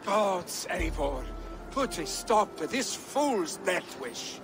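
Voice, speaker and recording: An actor playing a passionate, headstrong young Viking in a video game, forceful and pleading. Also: male voice, high-pitched, big pitch swings, takes it slowly, music in the background, heavily acted. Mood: urgent, indignant. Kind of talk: video game dramatic acting.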